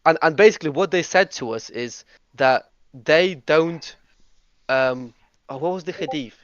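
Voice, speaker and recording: Brief: man from Russia, group host, quick stop-start talker, dry lo-fi call audio. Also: on the high side, smooth, no room sound. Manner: eager, talks in bursts with many restarts and fillers. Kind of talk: casual group voice chat.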